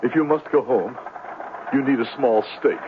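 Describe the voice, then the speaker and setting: An American actor playing a pompous, silver-tongued phony reverend in florid old-time radio drama style. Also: male voice, medium pitch, normal speed, close to an everyday voice. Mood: smooth persuasion. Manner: florid, theatrical, pompous oratory. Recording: noisy, tinny vintage radio broadcast.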